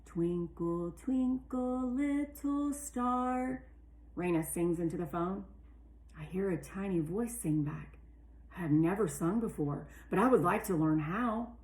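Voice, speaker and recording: A woman reading a children's novel aloud with animated, deliberate storytelling in a roomy recording. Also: lowish voice. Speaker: read-aloud narrator. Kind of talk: expressive children's audiobook narration. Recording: roomy, echoey sound.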